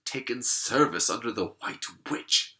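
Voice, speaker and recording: A man's voice: mid-range voice, animated, measured pace, sharp tone, pitched above the performer's own voice. A man voicing a tearful, guilt-ridden male faun who talks mournfully between sobs. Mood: confessing. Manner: sobbing, mournful.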